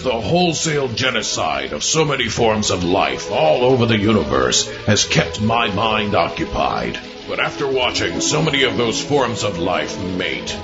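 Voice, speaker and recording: Pompous Martian warlord, acted by a man, swooping and muffled. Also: on the low side. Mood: melancholy. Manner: grandiose, slow announcements breaking into irritable bluntness. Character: pompous, lonely Martian warlord who claims to be a woman. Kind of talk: comic sci-fi audio drama. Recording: muffled lo-fi with loud music behind.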